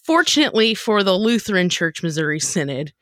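A woman's voice: medium pitch, big pitch swings, fairly quick, fairly bright tone, no room sound, studio quality. A woman co-hosting a podcast in a casual group chat, with a wry, joking manner. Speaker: podcast co-host.

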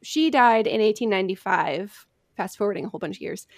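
A woman's voice: mid-range voice, expressive, fairly quick, no echo, studio quality. An American woman hosting a conversational history story, telling it from notes.

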